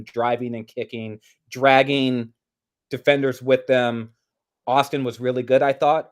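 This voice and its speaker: A man co-hosting a casual basketball watch-along, commenting on the game. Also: medium pitch. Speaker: sports podcast co-host.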